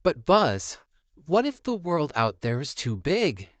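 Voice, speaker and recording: A man's voice: on the high side, huge pitch swings, takes it slowly, no echo, pitched above the performer's own voice, exaggerated voice. A man voicing an anxious, timid toy dinosaur in a worried, trembling manner. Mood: fearful.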